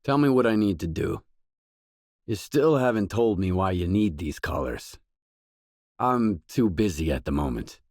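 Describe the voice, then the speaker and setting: A man's voice: deep voice, expressive, unhurried, a little mellow, dry recording. An actor playing a guarded, determined male stalker mercenary in a video game, speaking tersely and matter-of-factly with dry delivery. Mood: firm, defiant. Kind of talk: video game character dialogue lines.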